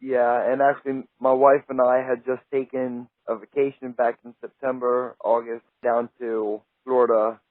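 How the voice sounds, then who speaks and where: A man's voice: mid-range voice, little pitch movement, normal speed, few pauses, dry recording. Man, radio show host, friendly, enthusiastic, tinny line, dry sound. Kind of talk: casual interview chat.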